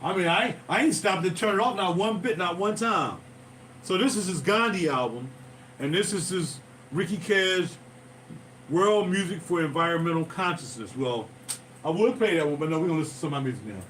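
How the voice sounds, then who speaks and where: A man's voice: sits high, lively intonation, brisk, a bit bright, slight background noise. A man rambling through stories to his livestream viewers, often drifting off topic and losing his thread. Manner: digressive, loses his thread, talks to viewers directly. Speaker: musician hosting a livestream. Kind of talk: casual rambling livestream storytelling. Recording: distant mic with music playing behind.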